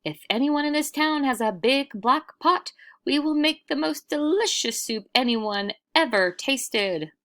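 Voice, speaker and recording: A woman's voice: sits high, animated, a little slow, fairly bright tone, pitched above the performer's own voice. A woman voicing cheerful, persuasive hungry travelers with sing-song, exclaiming delivery in a storybook. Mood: gleeful, rousing. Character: two hungry, cheerful, persuasive male travelers, showy master cooks.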